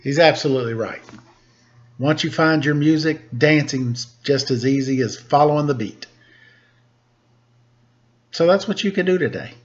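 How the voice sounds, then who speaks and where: A man reading a children's storybook and chatting in a playful, expressive, encouraging way. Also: fairly high pitch, normal speed, pauses often, lo-fi sound, a little hiss or hum.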